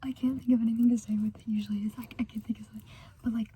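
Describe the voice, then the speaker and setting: American woman, whispered ASMR rambler; mellow, soft, meandering chatter. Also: a little high, flat delivery, very fast, a little hiss or hum. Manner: meandering, filler-heavy, giggly chatter. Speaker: ASMR creator.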